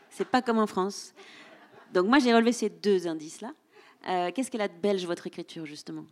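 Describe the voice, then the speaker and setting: Woman, moderator, live book talk, playful, teasing, laughs often. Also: medium pitch. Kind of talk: hosting a live public discussion. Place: public event room with audience.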